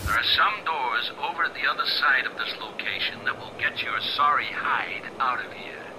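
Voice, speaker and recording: An actor playing a sadistic, sleazy snuff film director in a video game, theatrical and mock-sincere, moving between murmurs and barks. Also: male voice, medium pitch, normal speed, noisy background, close to an everyday voice. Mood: scornful, instructing. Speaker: villain directing the player over an earpiece. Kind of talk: video game villain acting.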